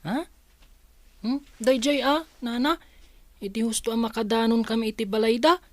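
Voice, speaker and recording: Carefree Ilocano village boy, played by a woman, highish. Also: steady tone, moderate pace, no room sound, lightly acted. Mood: chatty. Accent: Ilocano Filipino. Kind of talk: Filipino radio drama acting.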